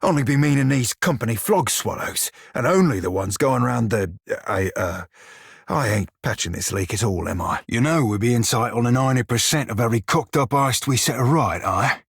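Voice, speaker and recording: An actor voicing a short, crude, wry pirate shiphunter for a video game, with a husky, rough-edged voice and a pirate dialect full of salty sailor slang. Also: male voice, mid-range voice, animated, moderate pace, no echo, studio quality. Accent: pirate-style dialect. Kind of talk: video game character dialogue and barks.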